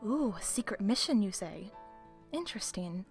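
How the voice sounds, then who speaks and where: A woman's voice: medium pitch, animated, moderate pace, a little mellow, faint music behind, natural voice. A woman playing a sly, self-assured librarian with arch, playful, theatrical phrasing in an audio roleplay. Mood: playful curiosity.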